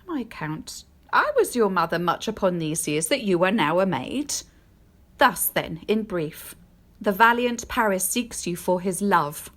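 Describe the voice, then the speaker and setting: A woman playing a formal, commanding noble mother in a Shakespearean audio drama, with a brisk, directive manner. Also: medium pitch, lively intonation, a bit bright. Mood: persuasive.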